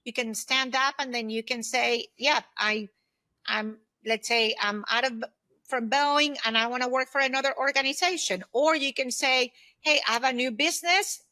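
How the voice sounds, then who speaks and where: A woman in her fifties talks as a podcast guest with a bright, forceful voice set a little high. The recording is dry and close, and she gives advice in an emphatic, conversational way, often checking that the listener follows. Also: normal speed, dry recording. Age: 50s. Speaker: podcast guest, former aerospace executive. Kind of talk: conversational interview, giving advice.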